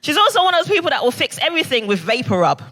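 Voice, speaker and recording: A young woman from Barbados works a comedy club crowd with brash, punchy bits and quick back-and-forth. Her voice is bright and forceful, and it carries over a handheld stage mic. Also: sits high, sing-song. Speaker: stand-up comedian. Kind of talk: stand-up comedy set. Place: comedy club stage before a live audience.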